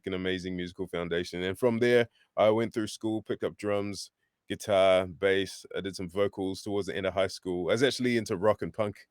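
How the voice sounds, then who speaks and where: A man of about thirty, born in England and raised in New Zealand, talking in a relaxed, friendly way as a podcast guest. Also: deep voice, clean tone, no room sound, studio quality. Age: 30s. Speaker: rapper, guest. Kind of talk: casual interview over video call.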